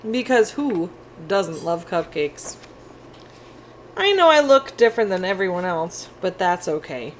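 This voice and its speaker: A quirky little octopus-unicorn, read aloud by a woman with very swoopy, animated pitch. The storybook creature is friendly and a touch lonely, and there is clear room noise behind. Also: unhurried. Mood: hopeful, friendly. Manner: animated, childlike storybook delivery. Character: friendly, quirky, slightly lonely young octopus-unicorn picture-book character.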